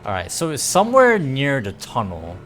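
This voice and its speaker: Lively-pitched man chatting over game music. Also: normal speed. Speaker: game livestreamer. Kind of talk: casual gameplay commentary and chatting with viewers. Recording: music playing behind the voice.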